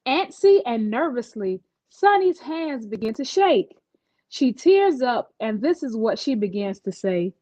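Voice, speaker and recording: A woman storyteller reading a children's picture book aloud in an expressive style. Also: on the high side, unhurried, no echo, highs cut off.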